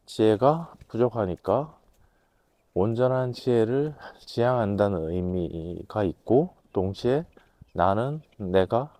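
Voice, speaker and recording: A man with a voice hoarse from a cold, giving a measured, reflective talk to camera, pausing to think as he explains. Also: on the low side, warm tone, no room sound. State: has a cold. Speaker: host, management consultant lecturer.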